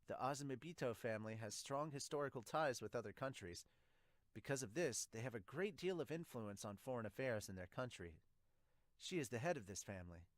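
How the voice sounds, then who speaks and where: A young man giving an amateur dramatic read-aloud of manga dialogue, somewhat halting, into a close mic. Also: mid-range voice, dry recording. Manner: halting reading with stumbles. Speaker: podcaster reading a manga aloud as an amateur dub. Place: small home room, indoors. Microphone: large studio mic with pop filter, close.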